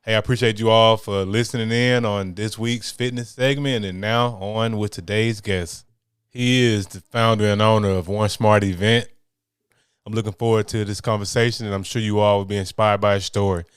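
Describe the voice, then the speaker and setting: A male podcast host with a lowish, smooth voice talks in an upbeat, slangy way. He is recorded close and dry, with no room sound behind him. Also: fairly even intonation, moderate pace, no room sound. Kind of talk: casual podcast talk.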